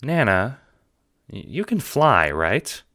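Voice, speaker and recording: A man voicing an earnest young boy hero in an audio drama, wheedling with halting, hammy pleading. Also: medium pitch, sing-song, pushed and bright, dry recording, studio quality, voice pushed up, full character voice. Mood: tentative request.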